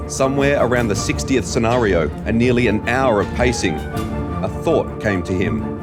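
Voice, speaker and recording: A man narrating an audio drama in a measured, deliberate, scripted storytelling style. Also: lowish voice.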